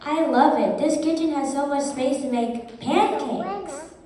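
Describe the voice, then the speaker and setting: A woman's voice: high-pitched, a little slow, crowd noise. A woman playing an eager young daughter in an amateur puppet show, with simple scripted delivery. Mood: eager, curious. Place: indoor puppet show performance, distant mic. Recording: echoey hall, far mic, faint noise.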